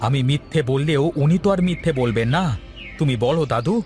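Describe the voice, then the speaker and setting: A man's voice: on the high side, fairly quick, a little mellow, soft background music, lightly acted. A man voicing a bold, indignant young village man who speaks urgently and emphatically in a cartoon moral story. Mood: defiant.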